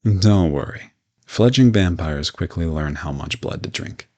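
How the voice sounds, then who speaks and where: A man playing a polite, reassuring modern vampire in a roleplay audio drama, talking gently and matter-of-factly to the listener. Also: deep voice, lively intonation, unhurried, muffled recording. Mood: calm, reassuring.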